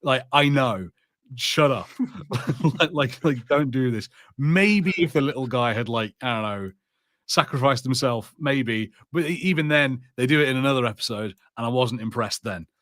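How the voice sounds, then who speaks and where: Male co-host, mid-pitched, sardonic and exasperated in casual review chat, recorded dry. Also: moderate pace, a bit bright, no room sound. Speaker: podcast co-host.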